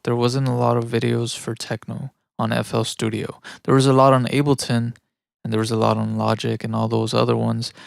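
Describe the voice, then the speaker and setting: A man's voice: medium pitch, gravelly, dry recording, studio quality. A man from Mexico living in the United States chats casually and thinks aloud in a rambling, self-reflective way while producing music on a livestream. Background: electronic music.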